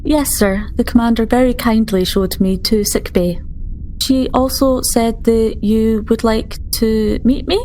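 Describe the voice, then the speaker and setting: A woman playing a formal, newly promoted starship doctor in a tabletop game, polite and measured. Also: fairly high pitch, steady tone, a little mellow, a little hiss or hum, natural voice. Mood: courteous, formal.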